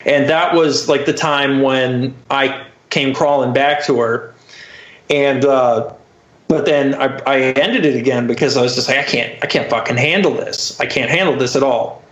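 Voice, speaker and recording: A man's voice: medium pitch, moderate pace, fairly bright tone. A man telling a personal story casually as a podcast guest, candid and profane, peppered with filler phrases. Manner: candid, profane, frequent "like" and "you know". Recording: roomy, slightly dull muffled audio.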